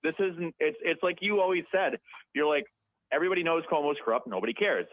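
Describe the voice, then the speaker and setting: A man's voice: a little high, fast talker, no echo. A man calling in to a radio talk show, talking in a chatty, rambling way and laughing often. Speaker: caller. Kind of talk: phone-in conversation. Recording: tinny dry phone line.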